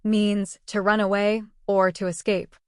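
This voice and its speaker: A woman teaching a language lesson, explaining phrases in an even, scripted way. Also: mid-range voice, unhurried, clean tone, no echo, clean studio sound.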